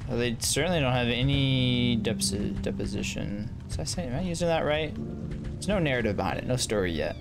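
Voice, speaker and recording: A man's voice: mid-range voice, expressive, brisk, vocal fry. A man giving casual gameplay commentary in a slangy, joking, reactive manner. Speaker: gamer, let's player. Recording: game music behind. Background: video game music.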